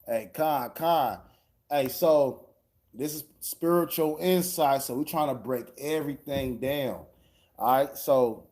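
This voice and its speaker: A man hosts a live stream and talks slowly in a relaxed, rambling way between tracks. His voice sits at an ordinary middle pitch, and he leans on fillers as he chats. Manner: loose, laid-back talk with many fillers. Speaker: livestream host. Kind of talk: casual chat between songs.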